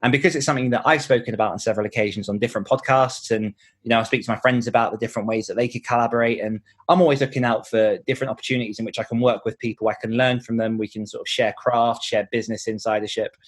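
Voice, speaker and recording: Guy with a medium pitched voice chatting on a podcast, explaining stuff earnestly, thinking out loud. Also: steady tone, rapid-fire. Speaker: podcast host and author being interviewed. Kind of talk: conversational interview answers.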